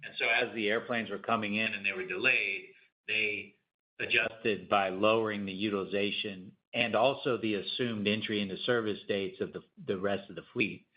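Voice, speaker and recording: Low-voiced man on a dull conference line. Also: steady tone, moderate pace. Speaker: airline executive answering analyst questions. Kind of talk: earnings call answers, unscripted. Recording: dull, band-limited conference call audio.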